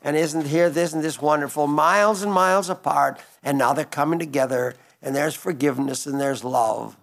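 Ninety-six-year-old man preaching gently. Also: on the high side, moderate pace, gravelly. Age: 90s. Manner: gentle, rambling with restarts. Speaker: radio preacher. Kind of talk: broadcast sermon reading listener letters.

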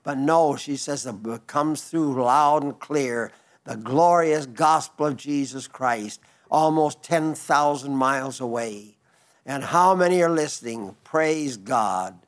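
A man in his nineties giving a broadcast sermon, reading listener letters in a gentle, rambling way with frequent restarts. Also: medium pitch, slow pace. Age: 90s. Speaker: radio preacher.

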